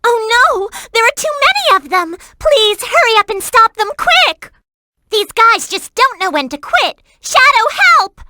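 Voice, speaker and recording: A woman's voice: very high voice, bright, forceful tone, no echo, studio quality, full character voice. A woman voicing a perky, earnest and bossy young girl companion in a video game, with emphatic delivery. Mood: urgent, alarmed. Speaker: in-game hint-giving companion.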